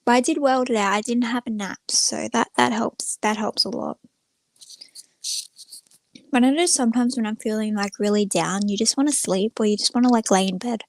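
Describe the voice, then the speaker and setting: A young woman with a somewhat high voice talks informally to the people watching her livestream. She rambles from thought to thought in a self-effacing, everyday way. The sound is dry, with very little room in it. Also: normal speed, dry recording. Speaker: live streamer. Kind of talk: casual chat to viewers on a livestream.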